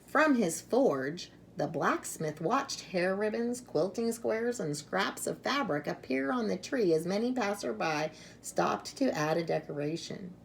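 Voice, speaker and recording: Unhurried woman reading a children's storybook, slightly gravelly. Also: mid-range voice, lively intonation, a little hiss or hum. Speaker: storybook reader. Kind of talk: expressive children's read-aloud.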